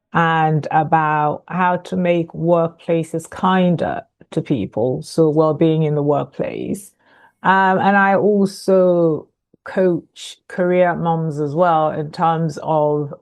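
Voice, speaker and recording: A middle-aged woman from Ghana with a lowish voice, talking as an interview guest. She is chatty and relaxed, laughs readily and leans on fillers like "um" and "so". Her speech sounds unscripted. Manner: chatty, laughs easily, many fillers and restarts. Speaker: guest, author and coach. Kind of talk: conversational podcast interview.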